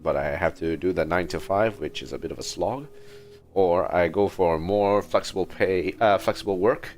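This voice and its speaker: Very deep-voiced male game streamer. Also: normal speed, a little mellow, slight background noise. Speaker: livestream host. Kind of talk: casual gaming livestream chat.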